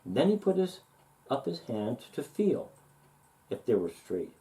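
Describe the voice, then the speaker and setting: A man reading a children's story aloud expressively, with deliberate, sing-song emphasis. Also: medium pitch, very animated, mellow, low-quality recording. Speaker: storybook reader.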